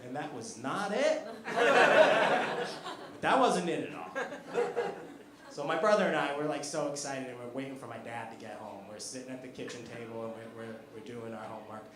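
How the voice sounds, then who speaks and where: A man's voice: medium pitch, animated, moderate pace, heavy background noise. An American man in his thirties doing a chatty stand-up set, teasing the audience on a comedy club stage. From United States. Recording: echoey club room with audience laughter.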